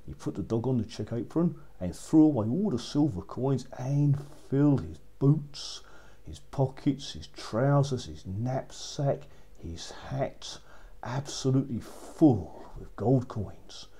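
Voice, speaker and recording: A man's voice: medium pitch, expressive, slow, dark tone, breathy edge. A man narrating a fairy tale for children as a storyteller, repeating phrases for effect.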